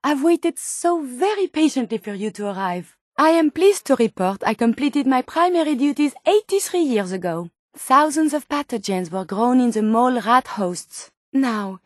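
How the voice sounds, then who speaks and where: An earnest, sensitive female robot scientist from a video game, with a French accent and a high voice. She speaks in formal, precise phrases, polite and curious. The recording is dry and close, with no room sound. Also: darkish tone, dry recording. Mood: formal, hopeful. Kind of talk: video game character dialogue.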